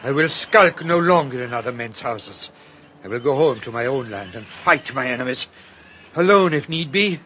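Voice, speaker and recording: A man's voice: medium pitch, expressive, moderate pace, pushed and bright, slight background noise. An actor playing a shaken foreign man who speaks in a formal, solemn way. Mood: solemn resolve.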